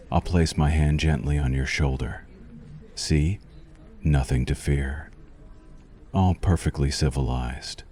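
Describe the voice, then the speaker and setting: Male actor as vampire doctor for ASMR: extremely low, mellow voice, formal, possessive, softly menacing. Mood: soothing, teasing. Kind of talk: ASMR roleplay, scripted monologue to the listener.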